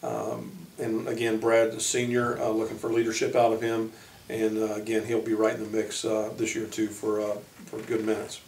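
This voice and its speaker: Guy coaching college hoops, kinda monotone, stop-and-start player rundowns, echoey and far from the mic. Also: on the low side. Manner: halting, pausing mid-sentence. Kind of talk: informal spoken player profiles. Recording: roomy echo, distant microphone.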